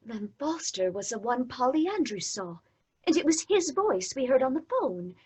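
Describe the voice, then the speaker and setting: A woman playing a sharp-witted female companion in a radio drama, wry and questioning. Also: sits high, very animated, normal speed, breathy edge, exaggerated voice. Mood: curious. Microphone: home mic over video call.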